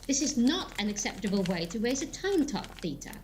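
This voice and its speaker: An actress playing a stern, disapproving elderly matriarch in an audio drama, severe and formal in manner. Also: female voice, fairly high pitch, sing-song, fairly quick, background noise. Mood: scolding, mournful.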